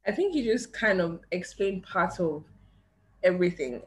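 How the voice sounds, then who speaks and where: A young woman who hosts a podcast talks in a relaxed, reflective way. Her voice sits low for a woman. The conversation is loose and casual. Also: normal speed. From Nigeria. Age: young adult. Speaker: podcast host.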